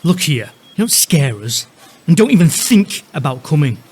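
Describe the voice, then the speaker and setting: A man's voice: fairly high pitch, very animated, moderate pace, a little mellow, slightly rough. A man playing a furious, protective father in an audio drama, confrontational and sweary as he disowns his son.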